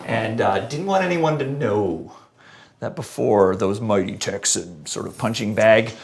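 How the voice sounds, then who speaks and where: A man hosting a live-stream debate show, reading out viewer comments in an energetic, joking way, his voice a little hoarse. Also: on the low side, unhurried. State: voice going a bit hoarse. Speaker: host.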